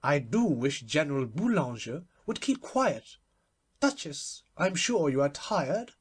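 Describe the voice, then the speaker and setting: A man's voice: fairly high pitch, very animated, a little slow, slightly rough, exaggerated voice. A man voicing an imperious, chattering society hostess in an audiobook, emphatic and airily opinionated. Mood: airy, disappointed. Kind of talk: literary audiobook character voice.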